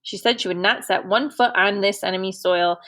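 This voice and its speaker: A woman voicing a friendly male army lieutenant who speaks softly with amusement. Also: medium pitch, brisk, lightly acted. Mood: amused. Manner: chuckling, soft-spoken.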